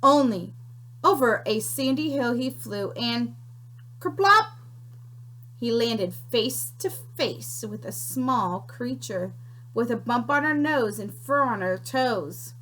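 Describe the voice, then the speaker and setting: A woman teacher reading a children's book aloud, slow and expressive, stopping to ask questions. Her voice is high and bright and moves around a lot. She sounds like she is reading to a class. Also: low-quality recording.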